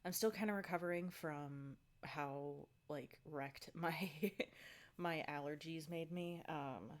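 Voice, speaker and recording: A woman's voice: low voice, takes it slowly. A woman talking casually in a video diary, a bit out of breath and congested, rambling with lots of ums and likes. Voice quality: congested, stuffy nose. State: slightly out of breath. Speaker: fitness vlogger.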